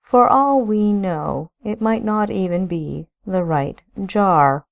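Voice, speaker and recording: A woman's voice: mid-range voice, expressive, slow, gravelly. A woman voicing a brooding, self-blaming older brother and husband who talks in a ponderous, gloomy way.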